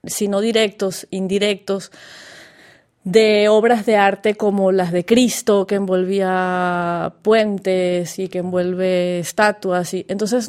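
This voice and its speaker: A woman's voice: mid-range voice, darkish tone, clear, resonant tone. Woman host asking winding questions. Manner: long winding questions with fillers. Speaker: interview host. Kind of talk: interview hosting.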